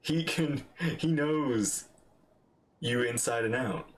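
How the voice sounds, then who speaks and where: A man's voice: mid-range voice, slow pace, a little hiss or hum. A man giving casual, enthusiastic livestream commentary while reacting to a game. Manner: enthusiastic, chatty.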